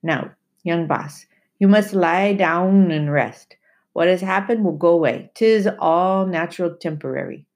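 A woman voicing a big, gentle old male sailor, speaking slowly in broken dialect. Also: mid-range voice, very animated, pitched above the performer's own voice. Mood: soothing. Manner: broken dialect, slow and soothing.

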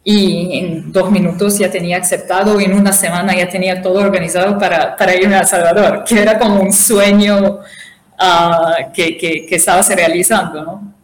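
A woman whose first language is not Spanish answers interview questions in a halting way, with many fillers and restarts. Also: fairly low pitch, steady tone. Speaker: interview guest, conference organizer. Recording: echoey room.

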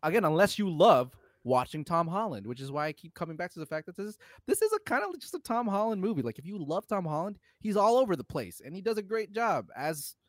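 A man's voice: sits high, very animated, fast, hardly any pauses, no room sound, studio quality. A man co-hosting a podcast, chatting casually about film in a blunt way, swearing freely with exasperated emphasis. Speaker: podcast co-host.

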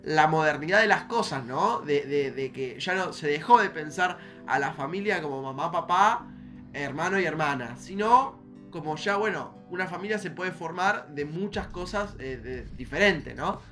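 A man's voice: on the high side, lively intonation, normal speed, a bit bright, faint music behind. A young man with an Argentine accent chatting casually as a podcast host.

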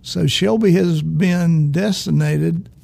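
A man hosts a relaxed interview, his voice heavily gravelly and dark. He talks in an easygoing way and laughs often. His tone is a little husky. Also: a little high, slow. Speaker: podcast host. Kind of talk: casual interview chat. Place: museum studio.

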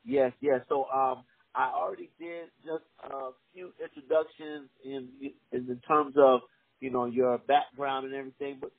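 A young man hosting a podcast, reading sponsor spots with energetic hype and chatting casually with his guest. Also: moderate pace, creaky, no room sound, phone-quality sound. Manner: energetic, hype-driven pitch with repeated "all right". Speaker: podcast host. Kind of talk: casual podcast ad reads and interview.

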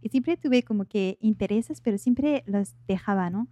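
A woman from France speaks as a podcast guest in a relaxed, mellow voice. Her French accent marks her as a native French speaker, and she pauses to find words. The sound is dry, with almost no room to it. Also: mid-range voice, no echo. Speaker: podcast guest, life coach. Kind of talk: casual interview chat.

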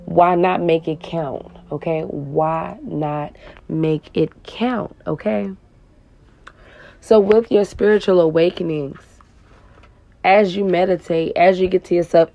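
Raspy-voiced woman life coach, music under. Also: fairly low pitch, dark tone, dull audio. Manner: tags lines with "okay?". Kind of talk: spiritual coaching talk. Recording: guitar music playing under the voice.

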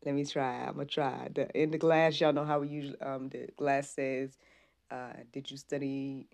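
A woman's voice: very deep voice, level tone, normal speed, gravelly, darkish tone. A woman hosting a casual faith-based livestream, chatting informally and devoutly with frequent praise and interjections. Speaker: podcast host.

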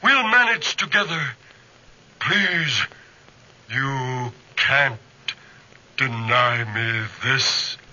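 A man's voice: mid-range voice, drawn-out words, pauses often, bright, forceful tone, exaggerated voice. A man playing a lonely, vengeful man-made monster, insistent and theatrical, in old radio horror drama. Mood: pleading. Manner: intense, insistent, theatrical pleading. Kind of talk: 1940s radio horror acting. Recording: muffled old radio recording.

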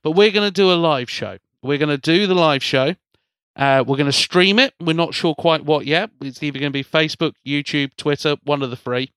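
A man's voice: a little high, brisk, a bit bright, clear, resonant tone, dry recording. A man hosting a podcast in casual chat, rambling and often repeating words as he restarts his sentences. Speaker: podcast host.

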